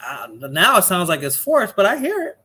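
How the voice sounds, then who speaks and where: Bronx lady hosting a stream, chatty, laughs a lot, mid pitch. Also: very animated, normal speed, sharp tone, husky. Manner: chatty, laughs often, repeats herself. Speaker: livestream host. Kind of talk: casual livestream chat.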